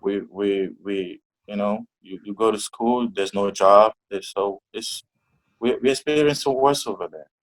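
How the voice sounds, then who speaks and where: A man's voice: fairly low pitch, a little slow, low-quality recording. A young man from Togo answering interview questions, with many restarts and fillers, on a video call. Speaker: interview guest.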